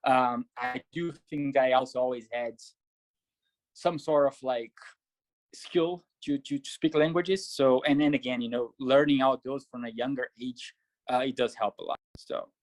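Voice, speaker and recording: A man from Brazil, speaking as a guest on an interview show. He is a triathlete and coach who talks in a measured, thoughtful way with frequent fillers. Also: medium pitch, fairly even intonation, no echo, low-quality recording. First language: Portuguese. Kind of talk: casual interview answers.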